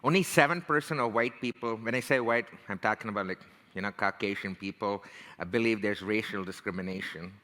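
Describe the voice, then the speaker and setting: Indian-accented man, lowish and bright, chatty talk delivery, long hall reverb. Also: a little fast. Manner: conversational, frequent "right?" and "you know". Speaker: speaker. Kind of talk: motivational talk.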